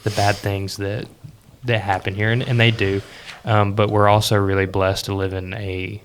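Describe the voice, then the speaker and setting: Low, flat-toned male podcast host. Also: moderate pace, a little hiss or hum. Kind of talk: casual chat.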